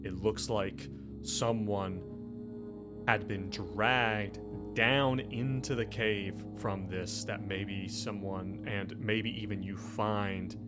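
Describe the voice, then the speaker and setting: A man with a fairly low voice narrates a tabletop game as game master. He builds the scene haltingly, circling back over his phrases for suspense, while music plays clearly underneath. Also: fairly even intonation.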